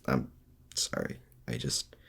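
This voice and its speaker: A man acting in an intimate audio roleplay as a lonely, awkward supervillain and mad scientist, speaking in a hesitant, sincere way. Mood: vulnerable, pleading. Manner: hesitant, halting, softly sincere.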